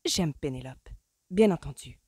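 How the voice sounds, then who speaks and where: A woman's voice: low voice, lively intonation, unhurried, a little mellow, husky, dry recording, full character voice. A flustered, disdainful society mother speaks in a bewildered, tart way, as a woman reading the book puts her on. The sound is dry and close.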